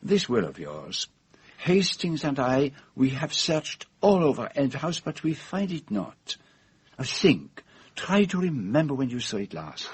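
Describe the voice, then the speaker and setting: An actor playing a shrewd, self-assured Belgian detective with a French-speaking accent and formal, precise, theatrical phrasing in a radio drama. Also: male voice, mid-range voice, sing-song, a little slow, husky, heavily acted. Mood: courteous, probing.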